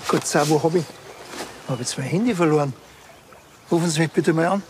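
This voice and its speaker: An actor playing a dry, stubborn small-town police inspector with a Bavarian accent and a curt, offhand way of talking. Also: male voice, a little high, a little fast, noisy background, lightly acted. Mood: irritated. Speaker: lead actor. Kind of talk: audio version of a TV crime comedy, natural dialogue.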